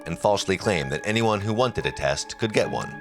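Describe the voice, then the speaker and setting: A man's voice: deep voice, animated, fairly quick, creaky, music in the background. A man reading scripted narration for a news documentary.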